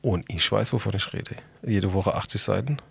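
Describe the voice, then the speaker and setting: Male podcast co-host: husky, creaky, average pitch, easygoing and jokey. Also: moderate pace. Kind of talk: casual podcast chat.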